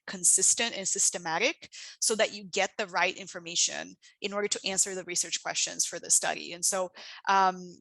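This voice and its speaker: A woman's voice: medium pitch, sharp tone, no room sound, poor audio. A woman whose first language is Portuguese, presenting her work as a researcher in a conversational, explanatory way. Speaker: international development researcher, guest speaker. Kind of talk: presentation talk with slides.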